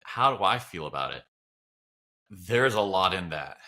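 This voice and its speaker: Low, bright-voiced man musing casually. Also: lively intonation, measured pace. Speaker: podcast co-host. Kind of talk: casual reflective podcast conversation.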